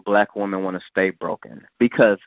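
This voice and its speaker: A man's voice: on the low side, fairly even intonation, moderate pace, creaky, no room sound. A man hosting a podcast, talking in a blunt, provocative, preachy way. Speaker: podcast host. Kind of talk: casual podcast talk. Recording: dull lo-fi sound.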